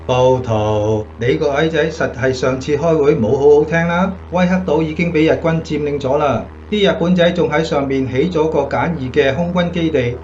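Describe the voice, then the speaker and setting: A man's voice: on the high side, normal speed, hardly any pauses, a little mellow, dull audio, slight background noise, close to an everyday voice. A man acting a matter-of-fact bomber crewman in a radio drama.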